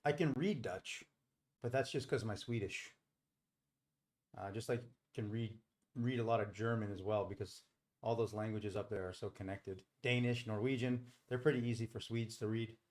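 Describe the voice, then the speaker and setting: A man's voice: on the low side, fairly even intonation, normal speed, darkish tone. A middle-aged man hosting a live stream, talking casually and explaining things in a rambling, informal way. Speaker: live stream host and trader.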